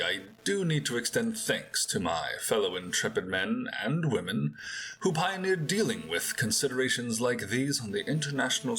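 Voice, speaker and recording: Formal, meticulous bunker survivalist. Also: male voice, mid-range voice, bright, forceful tone, low-quality recording. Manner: formal, precise, self-satisfied. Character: meticulous, aloof male bunker survivalist keeping a log. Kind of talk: audio drama log entry, formal monologue.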